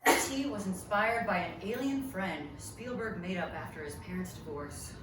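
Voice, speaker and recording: A woman's voice: fairly low pitch, moderate pace, natural voice. A woman playing a snobbish, bitter film buff in his thirties, pompous and exasperated, in a comedic table read. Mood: lecturing. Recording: echoey, noisy room, distant mic.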